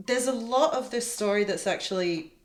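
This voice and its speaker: A woman's voice: medium pitch, moderate pace. A woman speaking casually and reflectively in a conversation about her work as a producer. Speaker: producer and sound engineer. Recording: echoey room.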